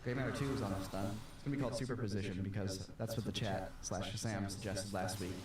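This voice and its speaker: Soft-spoken, fast-talking low male voice. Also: fairly even intonation. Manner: soft-spoken, quick, dry jokes. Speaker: video creator and podcast co-host. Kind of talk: casual podcast chat. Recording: echoey room, far from the mic, noisy.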